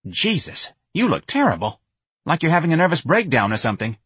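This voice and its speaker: A man voicing a blunt, intense young woman activist who talks in a flat, direct, slightly hostile way. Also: on the high side, fairly quick, slightly rough, no room sound, pitched above the performer's own voice, heavily acted. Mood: exasperated. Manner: brusque, nagging.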